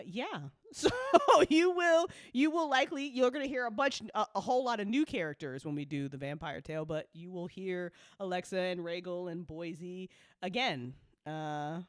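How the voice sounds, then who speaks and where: A woman's voice: on the low side, clean tone, no echo, clean studio sound. A woman hosting a casual after-show chat in a playful, teasing, emphatic manner with some swearing. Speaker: host and show creator.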